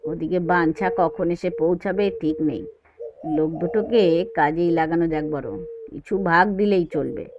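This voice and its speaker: A woman voicing a scheming, excitable, confiding young uncle in an audio story. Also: on the low side, normal speed, lo-fi sound, background noise, voice pushed down, lightly acted. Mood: hushed, scheming.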